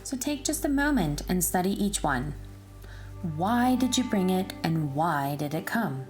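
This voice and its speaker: A woman narrating a rhyming children's story in an expressive, deliberate way, speaking directly to young listeners. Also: lowish voice, faint music behind.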